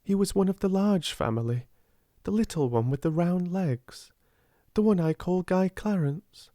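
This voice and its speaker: A woman's voice: on the low side, animated, a little slow, dark tone, no echo, clean studio sound, pitched above the performer's own voice. A woman voicing a proud, half-starved young girl who speaks with fierce passion. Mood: wistful. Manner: passionate, intense.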